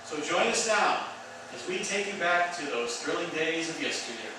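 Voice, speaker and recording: A man's voice: on the high side, expressive, moderate pace. A man presenting a radio theatre show with a chatty scripted introduction. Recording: very echoey, distant mic, clear background noise.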